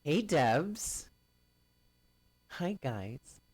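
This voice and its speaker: A man hosting a live stream of readings, chatting casually with viewers and greeting them by name in a loud, carrying voice. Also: on the high side, sing-song, very slow, breathy edge, no echo. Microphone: home stream mic, first too quiet, then louder.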